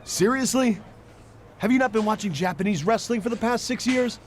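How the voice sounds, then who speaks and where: Guy doing an arrogant wrestler in a game, scornful and cocky, voice on the high side. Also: moderate pace, slight background noise, lightly acted. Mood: indignant, boastful. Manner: cocky, condescending. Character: arrogant, scornful male star pro wrestler. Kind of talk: game cutscene dialogue.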